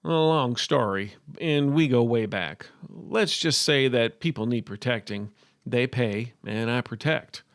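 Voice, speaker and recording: A storybook gunslinger, acted by a male narrator, terse and guarded. He has a creaky edge and talks in flat, curt phrases with gruff protectiveness, recorded very dry. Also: mid-range voice, unhurried, no echo, close to an everyday voice. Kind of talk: storybook character voice.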